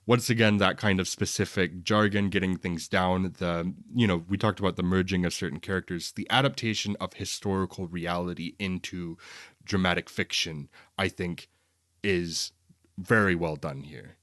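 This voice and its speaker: A man with a low voice in a casual review conversation, recorded close and dry. He talks as a podcast co-host, informal and analytical, working through his points as he goes. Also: steady tone, moderate pace, fairly bright tone, no room sound. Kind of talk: casual film review chat.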